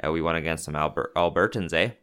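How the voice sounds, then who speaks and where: A young man with a Canadian accent chatting on a podcast, his voice very low and smooth. He jokes dryly and rambles, filling gaps with "uh" and "like" as he talks. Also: steady tone, fast talker, sharp tone. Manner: sarcastic, joking, rambling with many fillers. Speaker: podcast co-host. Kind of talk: casual joking chat.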